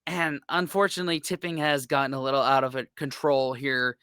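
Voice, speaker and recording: Us guy, bright clean voice, chill rambly reaction talk, lots of likes and uhs. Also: medium pitch, little pitch movement, fairly quick, clean tone, dry recording, studio quality. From United States. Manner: rambling, filler-heavy, laid-back. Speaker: reaction channel host. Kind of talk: casual reaction commentary.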